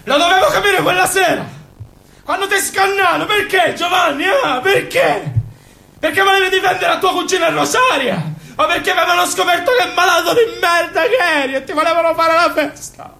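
Screaming, very high-pitched tormented Sicilian man. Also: brisk, heavily acted. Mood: accusing fury. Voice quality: strained, gravelly shouting. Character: tormented Sicilian man, raging at his secret male lover. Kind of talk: shouted theatre monologue. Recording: echoey theatre stage.

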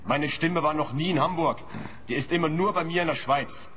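A man's voice: fairly high pitch, a little fast, a bit bright, natural voice. An actor in a radio play playing a defensive, irritated man who feels hounded, guarded and insistent. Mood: indignant. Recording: long reverberant space, faint noise.

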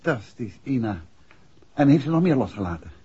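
An actor playing an assured, inquisitive gentleman detective and husband in a 1960s radio play, with a husky voice. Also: medium pitch, very animated, brisk. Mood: curious, intrigued. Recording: dull, muffled 1960s radio recording.

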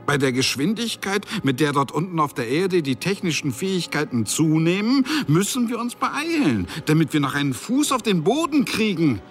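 A forgetful, grumbling old chief angel. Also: male voice, high voice, a bit bright. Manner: halting, searching for words, grumbling. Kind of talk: German radio drama acting. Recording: music behind the voice.

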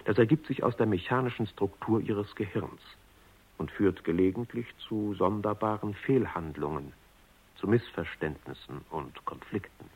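Deep, husky male radio narrator. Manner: measured, factual. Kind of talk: scripted radio drama narration. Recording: narrow-band vintage radio recording.

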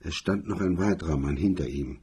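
A man with a husky, raspy voice reading a hardboiled crime story as a narrator in a measured, literary storytelling style. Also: low-pitched, warm tone.

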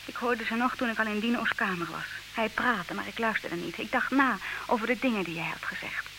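An actress playing a proud, disillusioned wife in an old radio play, speaking in measured, reproachful, theatrical diction. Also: female voice, fairly high pitch, natural voice. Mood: pained, wistful. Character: disillusioned, proud wife leaving her clever husband. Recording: hissy old radio recording, clear noise.

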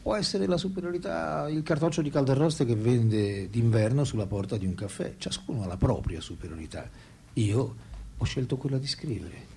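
A man's voice: mid-range voice, moderate pace, vocal fry, warm tone, a little hiss or hum, natural voice. An actor in a radio play voicing a French philosopher and writer, ironic, reflective and self-deprecating, telling his thoughts theatrically. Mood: reflective, modest. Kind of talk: radio play dialogue and monologue.

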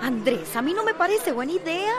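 A woman's voice: extremely high pitch, big pitch swings, brisk, music in the background. An actress playing a worried, scolding teenage girl in a radio soap. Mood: worried, pleading.

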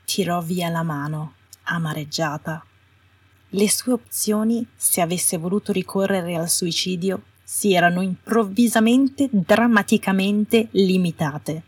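A woman's voice: fairly low pitch, measured pace, darkish tone, slightly rough. A woman reading scripted fiction as an audiobook narrator. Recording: roomy echo, distant from the mic.

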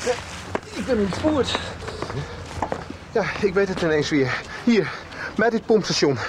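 An actor playing a dazed, shaken young man in a radio drama, speaking haltingly and repeating himself. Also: sits high, very animated, heavy background noise. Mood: dazed, confused. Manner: halting, trembling, repetitive. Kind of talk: 1990s radio drama acting.

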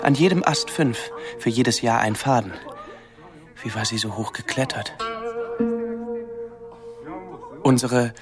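An actor playing a withdrawn, guilt-ridden young man, recounting his memories haltingly and introspectively in a radio drama monologue. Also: mid-range voice, over music, close to an everyday voice. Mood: wistful. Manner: slow, halting, introspective delivery in short phrases.

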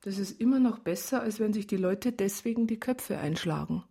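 A woman's voice: medium pitch, expressive, moderate pace, creaky, warm tone. Radio play: disillusioned, independent woman, flirtatious and blunt, dry delivery, natural mid-range voice. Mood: dryly sceptical. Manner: dry, teasing, frank. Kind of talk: naturalistic radio drama acting.

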